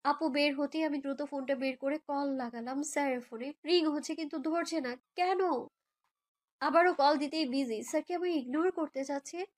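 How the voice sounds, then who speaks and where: A woman's voice: sits high, normal speed. A young woman narrates a romantic story in the first person, in an emotive, girlish way, as if living it. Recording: background music under voice.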